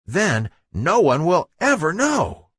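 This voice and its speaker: Guilty, scheming young cartoon guppy boy, acted by a man, high and very animated, for a bedtime story. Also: takes it slowly, slightly rough, no echo, studio quality, full character voice.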